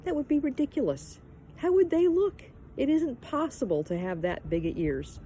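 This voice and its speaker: A woman's voice: sits high, lively intonation, moderate pace, mellow, a little hiss or hum, voice pushed up. A woman voicing a brash, playful young girl who tells tall tales in a bold, teasing way for a children's storybook. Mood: matter-of-fact, playful.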